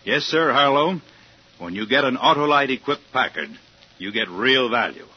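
A man's voice: medium pitch, lively intonation, moderate pace. A man delivering a scripted 1950s radio advertisement with folksy, emphatic salesmanship. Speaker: commercial pitchman. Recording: muffled old radio, faint noise.

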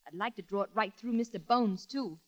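An actress playing a young, sharp-tongued, weary boarding-house keeper, tart and bossy, in a 1940s radio comedy. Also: female voice, medium pitch, fairly quick, close to an everyday voice. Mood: exasperated. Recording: muffled old radio broadcast.

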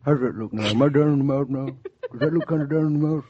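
An actor playing a playful young husband, teasing and mock-dramatic, in 1940s radio drama style. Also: male voice, mid-range voice, crowd noise, natural voice. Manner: teasing, hammy, drawn-out hesitations. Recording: dull 1940s radio recording.

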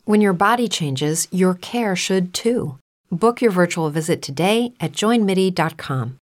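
A woman reading a scripted commercial in a calm, reassuring way. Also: on the low side, unhurried. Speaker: ad announcer.

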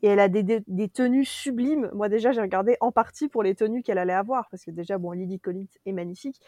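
A French woman co-hosting a casual podcast, chatty and animated, laughing often as she reviews a series. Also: medium pitch, quick pace, dry recording. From France.

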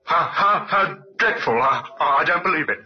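An actor playing a desperate, lying young son turned violent in an old-time radio drama, agitated and stammering under pressure. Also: male voice, a little high, animated, moderate pace, natural voice. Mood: evasive, nervous. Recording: muffled old radio recording.

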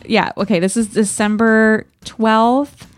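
A woman co-hosting a podcast, chatting casually and reading aloud from a diary in an expressive, playful way. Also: on the high side, slow. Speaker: podcast co-host.

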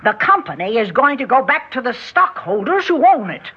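A woman's voice: high-pitched, big pitch swings, moderate pace, husky, heavily acted. A woman playing a steely, commanding middle-aged aunt in an American radio drama of the 1960s. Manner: commanding, sharp, insistent. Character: imperious, steely aunt who commands the family. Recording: dull 1960s radio recording.

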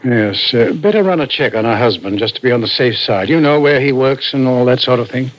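An actor playing a courteous, methodical senior police detective in an old-time radio suspense drama, polite and official in manner. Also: male voice, mid-range voice, fairly quick, over music, lightly acted. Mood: thoughtful. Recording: dull, noisy vintage radio broadcast.

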